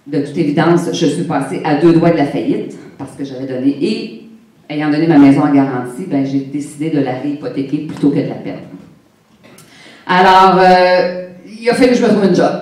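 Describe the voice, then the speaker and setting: A woman's voice: sits low, normal speed, a little mellow. A woman in her fifties from Quebec, with a Québécois French accent, telling her own story on stage in a candid, humorous way and speaking straight to the audience. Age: 50s. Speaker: conference speaker. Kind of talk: motivational personal story talk. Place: large hall with an audience. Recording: echoey hall, voice from a distance.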